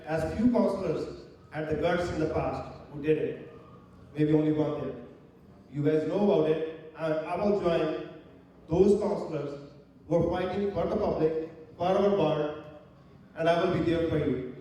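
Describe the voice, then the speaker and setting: A man delivering a deliberate, declarative campaign speech as a candidate at a public debate. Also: fairly high pitch, warm tone. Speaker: city council candidate. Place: large room, public debate. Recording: very echoey room, distant mic, faint noise.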